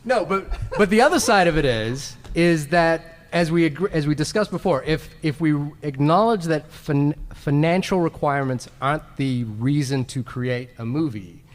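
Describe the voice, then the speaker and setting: A man's voice: fairly high pitch, moderate pace, hardly any pauses. A man chatting casually and jokingly as a panelist on a live podcast stage. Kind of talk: casual panel chat before a live audience. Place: large convention hall stage. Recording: echoey hall, live on stage.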